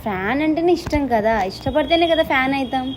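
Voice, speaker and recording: Behind heavy noise, a woman speaks in a fairly high voice at a quick clip. She plays the insistent fan on a call, flirting and pushing to keep the talk going. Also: lively intonation. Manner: pushy, insistent. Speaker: prank caller posing as a fan. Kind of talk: flirtatious phone chat. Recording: very noisy recording.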